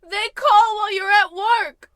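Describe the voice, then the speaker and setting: Woman acting a very high-pitched worried wife. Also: fairly even intonation, measured pace, fairly bright tone, dry recording. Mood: alarmed, pleading. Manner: pleading, emotive. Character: worried, exasperated wife of an unraveling husband. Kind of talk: old-time radio drama acting.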